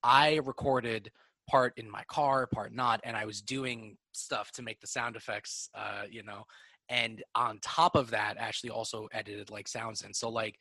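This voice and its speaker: Bright, husky-voiced man chatting. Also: on the low side, unhurried, dry recording. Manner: relaxed, swears casually, lots of "like". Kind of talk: casual conversation.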